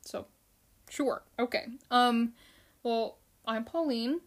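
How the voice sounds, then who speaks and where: A woman's voice: a little high, slow pace. Chatty young woman podcast host. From Philippines. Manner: chatty, loose, many fillers and restarts. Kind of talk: casual solo podcast chat with Q and A.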